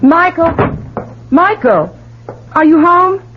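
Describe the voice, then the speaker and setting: A woman's voice: very high-pitched, sing-song, slow, exaggerated voice. An actress playing an anxious, doting wife and protective mother in a 1970s radio drama, with a fussing, theatrical delivery. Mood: alarmed. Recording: dull, hissy old radio recording.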